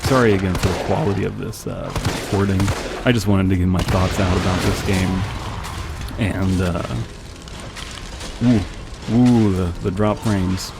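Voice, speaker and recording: Low-voiced man giving casual game commentary. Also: darkish tone, slight background noise. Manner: rambling, wry, joking asides. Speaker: video game player and commentator. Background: game music and gunfire.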